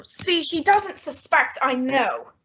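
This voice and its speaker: A woman playing a flustered, secretive and affectionate housewife in an old-time radio sitcom, with animated, exclamatory delivery. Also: high-pitched, slow pace, full character voice. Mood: coy, cheerful. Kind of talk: 1940s radio sitcom acting.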